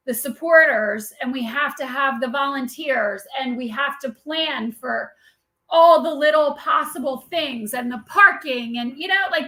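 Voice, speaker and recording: A woman guest co-hosting a podcast, chatty and eager, with a high-pitched voice. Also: bright, forceful tone. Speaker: guest co-host. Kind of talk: casual chat.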